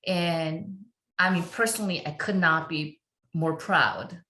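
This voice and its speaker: Slow, chatty woman from Korea. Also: fairly low pitch, sharp tone, echoey room. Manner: unhurried, chatty, laughs often, many fillers. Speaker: film composer, guest. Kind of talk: podcast interview.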